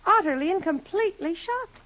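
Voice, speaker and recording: An actress playing a cool, sly wife in an old radio crime drama, arch and sarcastically sweet. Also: female voice, very high-pitched, animated, brisk. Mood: mock-shocked, sweet. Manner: mock-sweet, arch, composed. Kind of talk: 1940s-1950s radio crime drama acting. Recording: muffled old radio recording.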